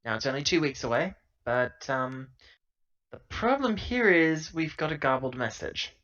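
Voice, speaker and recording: A man's voice: on the high side, sing-song, normal speed, fairly bright tone. A man playing an earnest, defensive female starship crew member in an improvised sci-fi tabletop game, speaking hesitantly and explaining. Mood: uneasy briefing. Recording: dull, lo-fi laptop audio.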